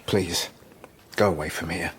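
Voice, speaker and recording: An actor playing an agitated, devout asylum patient who speaks in cryptic, pleading turns in an audio drama. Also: male voice, low-pitched, fairly even intonation, unhurried, fairly bright tone, slight background noise. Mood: urgent, pleading. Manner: cryptic, quoting verse, abrupt.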